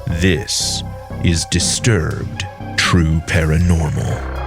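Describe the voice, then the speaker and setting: Very deep, slow gravelly male announcer. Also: steady tone, music in the background. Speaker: podcast host. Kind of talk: scripted show introduction.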